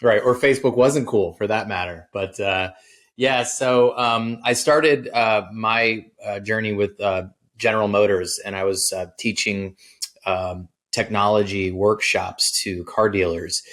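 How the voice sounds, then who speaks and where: A man being interviewed as a guest, telling his story in a relaxed way with many ums and uhs. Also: on the low side, a little slow. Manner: relaxed, filler-heavy, easygoing. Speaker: guest, social media agency founder. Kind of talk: casual interview chat.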